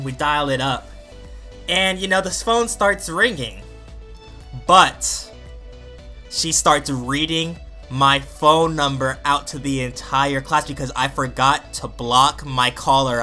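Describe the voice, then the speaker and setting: A young man, a gaming YouTuber, casually telling a story in an informal, slangy, animated way. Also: on the high side. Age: young adult. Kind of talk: casual storytelling over gameplay.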